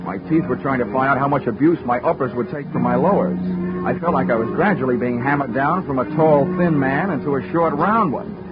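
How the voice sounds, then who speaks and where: A man's voice: medium pitch, a little fast, lightly acted. An actor playing a dry, wisecracking insurance investigator who narrates his own case, in 1940s radio acting style. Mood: wry narration. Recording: muffled old radio recording with music.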